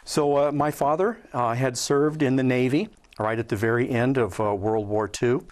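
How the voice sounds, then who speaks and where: An American man in his fifties from Southern California, recalling his life conversationally in an interview, hesitant and measured with frequent pauses. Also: medium pitch, steady tone. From Southern California, USA. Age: 50s. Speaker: interview guest, Air Force veteran. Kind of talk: conversational personal recollection.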